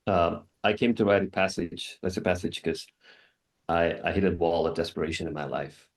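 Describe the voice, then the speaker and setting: A man in his thirties from Singapore, telling his own story on a panel in a reflective, earnest, measured way. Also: low voice, level tone. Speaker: panelist, wilderness guide.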